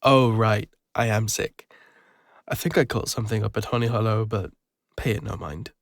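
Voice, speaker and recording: Man acting a creaky-voiced, bashful English ranger. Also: on the low side, no room sound. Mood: bashful, reassuring. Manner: hesitant, soft-hearted, halting. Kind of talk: intimate scripted audio roleplay addressed to the listener.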